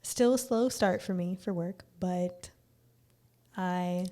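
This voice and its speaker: A woman co-hosting a podcast in casual, low-key chat, with a slightly raspy voice and sounding a little tired. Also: slow, mellow. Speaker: podcast co-host.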